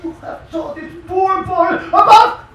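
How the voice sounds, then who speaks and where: An actor playing a pompous, tyrannical demon king father in a theatrical stage reading, given to explosive shouting. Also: very high voice, unhurried, exaggerated voice. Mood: enraged. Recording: very echoey hall, far from mic.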